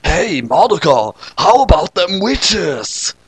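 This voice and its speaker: Brief: easygoing young gamer dude, acted by a man, griping casually, lively pitch, comic story. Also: slow pace, breathy edge, no echo, heavily acted. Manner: casual, complaining. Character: laid-back, griping young male gamer.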